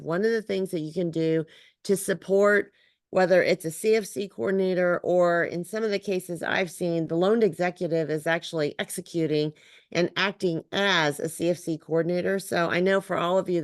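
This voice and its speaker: A woman leads a virtual training session, her lowish voice a little scratchy from allergies. She explains in a steady, methodical way and checks in with "right?" as she goes. The sound is dry and close. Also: no echo. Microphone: video call.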